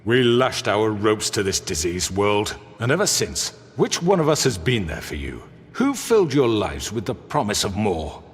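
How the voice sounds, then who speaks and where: An actor playing a smooth-talking crime boss in a video game, speaking with theatrical, mock-polite oratory. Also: male voice, fairly low pitch, wildly swooping pitch, normal speed, few pauses. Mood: rousing oratory. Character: smooth-talking male crime boss defending himself before townsfolk. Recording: echoey hall reverb.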